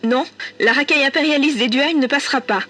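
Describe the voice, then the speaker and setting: A woman's voice: high-pitched, fast, bright, forceful tone, faint music behind. A middle-aged woman playing an exasperated mother of a troublemaking student in an audio drama. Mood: reading aloud.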